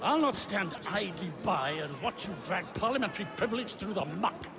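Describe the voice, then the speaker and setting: An actor with an English accent playing an outraged, pompous Victorian parliamentarian orating in a video game. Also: male voice, high voice, expressive, normal speed, creaky. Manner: pompous, oratorical. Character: outraged male Victorian parliamentarian in heated debate. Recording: loud jeering crowd behind the voice.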